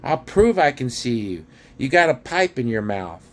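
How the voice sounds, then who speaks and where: A man's voice: mid-range voice, sing-song, moderate pace, pitched above the performer's own voice, lightly acted. A man voicing a kind, earnest young girl with an imploring manner in a storybook reading. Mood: insistent.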